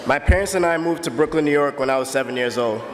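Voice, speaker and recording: A man in his early twenties from the Bahamas, earnestly telling his own story as a steady personal testimony. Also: fairly high pitch, fast, long reverb tail, a little hiss or hum. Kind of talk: personal testimony, read aloud.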